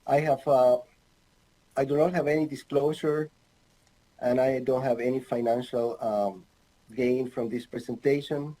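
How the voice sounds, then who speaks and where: Mellow-voiced man from Ecuador lecturing. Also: mid-range voice, steady tone, rough recording. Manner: halting, many fillers, light humor. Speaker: anesthesiologist presenter. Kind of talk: recorded online medical lecture. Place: home office with bookshelves.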